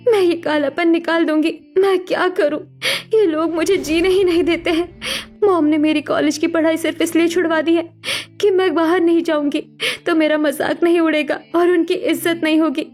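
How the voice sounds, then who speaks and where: A woman's voice: very high voice, a little mellow. A woman voicing a hurt, defensive young woman in a cartoon story, emotional and distrustful. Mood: despairing. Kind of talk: Hindi cartoon story voice acting. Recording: music behind the voice.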